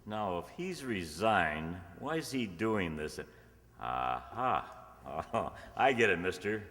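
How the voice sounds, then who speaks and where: Suspicious reporter, male actor: brash, gruff, lowish animated voice, reverberant stage radio play. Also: slow, heavily acted. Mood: suspicious, sarcastic. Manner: brash, slangy. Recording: echoey hall, stage radio performance.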